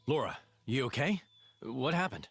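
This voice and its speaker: An actor playing a stoic, reserved swordsman in a video game cutscene, speaking in a terse, halting, solemn way. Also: medium pitch, animated, lightly acted. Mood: puzzled. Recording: loud orchestral music behind.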